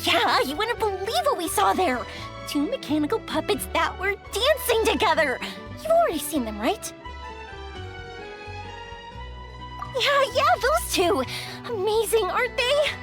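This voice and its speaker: Bubbly, high-voiced young game heroine. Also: female voice, sing-song, moderate pace, music in the background. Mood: excited. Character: bubbly, energetic, cheerful young woman. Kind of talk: video game voice acting.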